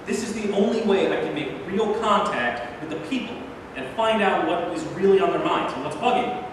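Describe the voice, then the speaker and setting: Genial, commanding statesman in disguise, acted by a man; high, lively delivery, stage reading in a boomy hall. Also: brisk. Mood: commanding, assured. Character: incognito American president, genial, talkative, commanding older man. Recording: echoey hall from far off, noisy.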